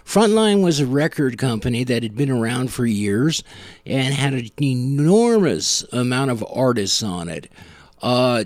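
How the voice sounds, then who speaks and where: A man's voice: medium pitch, dry recording, studio quality. A man hosting a music show in a casual, off-the-cuff, conversational way. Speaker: podcast host.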